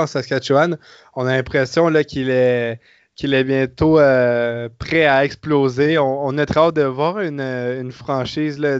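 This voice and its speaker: A man hosts a podcast in a smooth, resonant voice, steering the talk with many hesitations. His sound is dry and close, with a dull, lo-fi quality. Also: mid-range voice, no echo. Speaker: podcast host. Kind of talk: casual chat.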